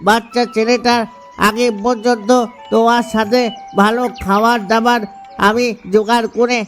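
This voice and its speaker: A man's voice: extremely high pitch, flat delivery, normal speed, a bit bright, soft background music. A man voicing a devoted male duck, an earnest husband and expectant father, in a cartoon story. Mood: doting. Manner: earnest, doting.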